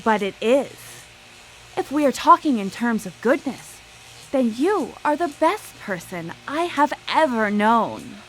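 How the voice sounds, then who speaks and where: A woman's voice: a little high, sing-song, measured pace, faint music behind, rough recording. An actress playing an obsessive, ruthless female scientist in a theatrical, formal manner for a live radio drama. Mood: brooding, intense. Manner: grandiose, theatrical, formal speech.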